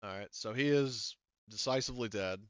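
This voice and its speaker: A low, smooth male voice, close and dry on a slightly dull microphone. He works as game master, thinking aloud and pausing often as he sorts out rules for the table. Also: no echo. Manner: halting, thinking aloud while adjudicating rules. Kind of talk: running a tabletop role-playing game.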